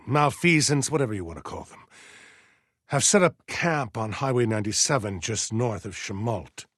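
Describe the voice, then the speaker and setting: A man voicing a stern, aging militia colonel in a video game, formal and measured as he gives orders with deliberate pauses. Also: lowish voice, slightly rough, no room sound, studio quality, exaggerated voice. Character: stern aging militia colonel, dutiful, zealous, wary, slightly forgetful. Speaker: military commander giving orders. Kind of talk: scripted video game character dialogue.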